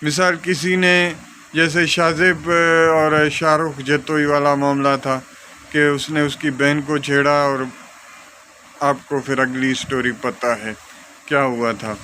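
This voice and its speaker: A man's voice: a little high, level tone, bright, forceful tone, slight background noise. A man with a Pakistani accent giving an informal law lesson, explaining with examples and mixing in English legal terms. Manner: code-switches between Urdu and English legal terms, reading out clauses deliberately. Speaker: law lecturer.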